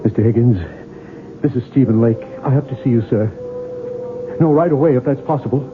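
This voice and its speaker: A man's voice: medium pitch, fairly quick, music in the background, close to an everyday voice. Man acting a tormented novelist, muffled radio sound. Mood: urgent, deferential. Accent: American. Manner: earnest, fervent, dramatic delivery. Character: tormented, intense struggling male novelist, obsessed and pleading. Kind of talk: 1970s radio drama acting. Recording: muffled 1970s radio drama broadcast.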